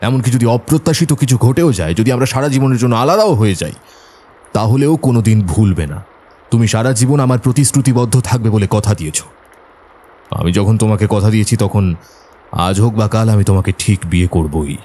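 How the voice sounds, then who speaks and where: Male suitor, audio drama: low, dark-toned, secretive gentleman, softly urging and insistent. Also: lively intonation, a little fast. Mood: insistent, earnest. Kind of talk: Bengali audio drama acting.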